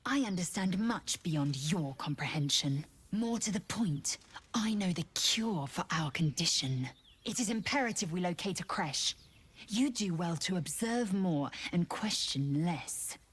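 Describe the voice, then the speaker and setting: A woman's voice: on the low side, animated, a little slow, breathy edge. An actress voicing a haughty, blunt alien warrior woman in a video game, speaking in a condescending, commanding way. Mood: disdainful.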